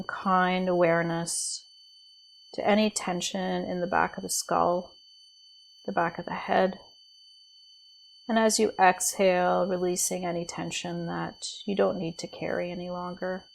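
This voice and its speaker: A woman leading a guided body scan meditation as a teacher, speaking in a gentle, soothing, unhurried way with pauses. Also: lowish voice, little pitch movement, vocal fry, slight background noise, rough recording.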